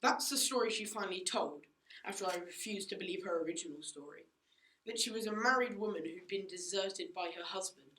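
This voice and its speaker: A teenage girl plays a haughty upper-class mother in a radio play. She is indignant and dismissive, speaking at a middling female pitch in a very echoey room. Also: fairly quick, fairly bright tone, natural voice. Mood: defensive. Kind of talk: school radio play acting.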